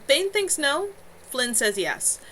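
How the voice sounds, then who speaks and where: A woman's voice: sits high, big pitch swings, slow, a bit bright, voice pushed up, heavily acted. A woman voicing a frank, caring woman healer in a storybook reading. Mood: measured concern. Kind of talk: amateur storybook dialogue reading. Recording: noisy home livestream audio.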